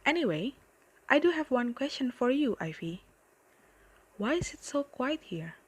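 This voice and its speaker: A woman voicing a gentle, curious talking unicorn doll in an amateur radio drama. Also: high voice, big pitch swings, unhurried, husky, heavily acted. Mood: friendly, curious.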